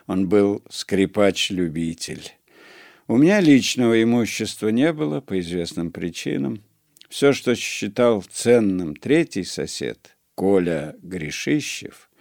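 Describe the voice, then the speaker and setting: Slow, gravelly male memoir narrator. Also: fairly low pitch, darkish tone, no echo, studio quality. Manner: measured, dryly ironic storytelling. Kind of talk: first-person memoir reading.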